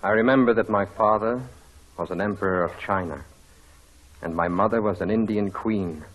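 Radio play antihero, male actor: low, husky, proud and coolly formal, with sardonic bite. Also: moderate pace, lightly acted, rough recording. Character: proud, cold, sardonic self-made gentleman with a grudge. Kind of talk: 1940s radio drama acting.

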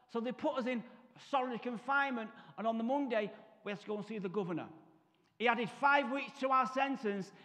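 A man's voice: very high-pitched, fairly bright tone. A man in his fifties with a Mancunian accent, giving his testimony at a church service, storytelling with comic asides and acted-out voices. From Salford, Manchester. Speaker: guest speaker. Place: large church hall, stage. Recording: reverberant church hall.

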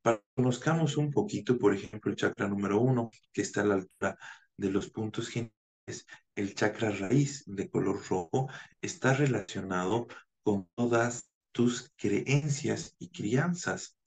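A man in his thirties from Bolivia, a naturopath giving a measured online conference lecture over a webcam, with frequent hesitation fillers. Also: lowish voice, creaky, a little mellow. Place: home room, indoors. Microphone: video call webcam.

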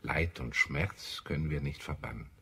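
Ein Schauspieler spielt in einem deutschen Hörspiel einen ernsten, idealistischen Marsianer, der getragen und deklamierend spricht. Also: male voice, rumbling low, moderate pace, vocal fry, a bit bright, slightly rough, heavily acted. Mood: idealistic, resolute. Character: earnest, idealistic Martian man, visionary and grave. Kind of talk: German radio play acting.

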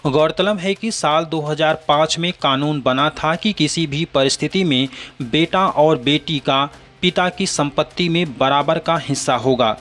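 A man presenting a scripted news bulletin in a formal, measured way. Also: on the high side, fairly bright tone. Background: background music.

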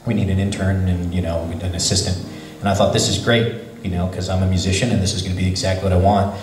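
A man speaking in a chatty, animated way at a convention panel. His voice sits on the low side and is picked up from a distance. The hall adds heavy echo and faint background noise. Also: fast talker. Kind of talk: casual panel talk. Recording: echoey hall, voice from far.

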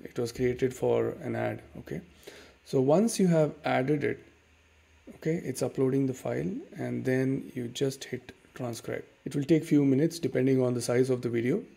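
A man in his thirties with an Indian accent, hosting a casual software tutorial at his desk and walking through each step with plenty of fillers. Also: medium pitch, normal speed, vocal fry, breathy edge. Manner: relaxed, many fillers and "okay"s, explains step by step. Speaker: tutorial host. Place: dim room at home.

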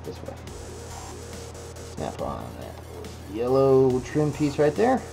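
A man's voice: medium pitch, slow pace, gravelly. A man casually walking through a model kit build at his workbench, talking to the parts as he goes. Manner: relaxed, talks to the parts as he works. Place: indoor workbench. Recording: music bed under voice. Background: background music.

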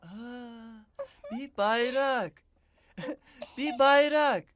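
A performer voicing a big, gentle, toddler-like creature in a preschool TV show, echoing simple words in a drawn-out, babyish way. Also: medium pitch, background noise. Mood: curious. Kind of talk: preschool children's TV character voice.

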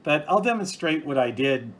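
A man's voice: fairly high pitch, expressive, normal speed, a bit bright. A man giving an informal, hands-on explanation as a hobbyist video host, plain-spoken and methodical, recorded on a phone at home. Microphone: phone, off camera.

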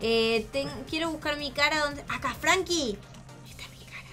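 A woman's voice: high voice, normal speed. A young woman with an Argentine Spanish accent, chatting playfully and expressively with a friend as she plays a game. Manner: expressive, exclamatory, teasing. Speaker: gaming YouTuber. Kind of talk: playful gameplay chat with a friend. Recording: voice over background music. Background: background music.

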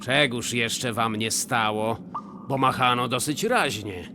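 An actor playing a scheming, miserly old notary in a verse radio play, wheedling and falsely sweet. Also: male voice, mid-range voice, slow pace, background noise, lightly acted.

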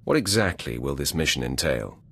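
An actor playing a commanding, imperious Sith lord in a video game, with a gravelly voice and formal, menacing delivery. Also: male voice, extremely low pitch, very animated, fairly bright tone, full character voice. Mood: commanding, composed. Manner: imperious, formal, measured wording. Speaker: military commander, player character. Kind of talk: video game dialogue acting. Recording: music under the voice.

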